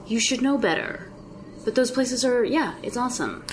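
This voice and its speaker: A young woman, a TV actress, chatting casually as a guest, with quick laughing asides. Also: fairly high pitch, animated, a little hiss or hum, low-quality recording. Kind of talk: casual chat.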